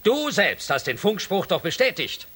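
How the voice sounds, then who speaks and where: An actor playing a stern space shuttle commander in a science fiction radio play, with an authoritative, dramatic manner and a rough edge to his voice. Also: high-pitched, very animated, moderate pace, a bit bright, exaggerated voice. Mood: indignant.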